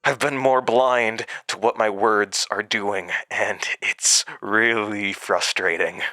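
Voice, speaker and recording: An actor in an audio drama playing a mysterious, volatile male entity living inside someone's head, speaking in a halting, cryptic way. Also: fairly low pitch, fairly even intonation, bright, forceful tone, dry recording, exaggerated voice. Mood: frustrated. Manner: halting, cryptic, swinging from muttering to outbursts. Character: fragmented mysterious male entity implanted in another's mind, volatile. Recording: music behind.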